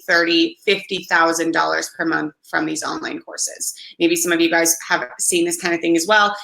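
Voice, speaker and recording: A young woman, slightly hoarse and raspy, hosting a weekly live business show with enthusiastic, encouraging talk. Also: on the low side, bright, forceful tone, reverberant, low-quality recording. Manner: enthusiastic, frequent laughs, encouraging. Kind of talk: upbeat livestream talk.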